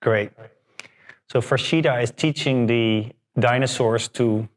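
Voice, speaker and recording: A man's voice: mid-range voice, slow pace. Man moderating a panel conversationally.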